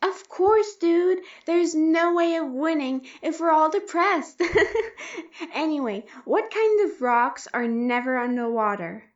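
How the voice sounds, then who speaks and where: A woman's voice: sits high, animated, slow, dull audio. A woman playing an upbeat, goofy young friend in an audio drama, casual, jokey and encouraging. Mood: playful. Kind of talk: amateur audio drama acting.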